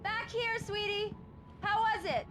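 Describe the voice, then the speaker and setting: An actress playing an affectionate, playful wife in naturalistic, casual game acting. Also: female voice, very high-pitched, steady tone, measured pace, loud music behind the voice. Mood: affectionate, teasing.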